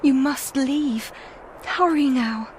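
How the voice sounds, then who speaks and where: An actress with a British accent playing an anxious, gentle young noble maiden in a gothic radio drama, with formal period diction. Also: female voice, high voice, takes it slowly, slight background noise. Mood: tender, urgent.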